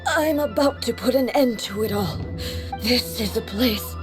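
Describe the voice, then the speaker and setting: A woman acting a slow, solemn warrior goddess. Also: a little high, music in the background, close to an everyday voice. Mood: strained, resolute. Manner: formal, measured, grave. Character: solemn, resolute, regal immortal warrior woman. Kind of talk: dramatic video game voice acting.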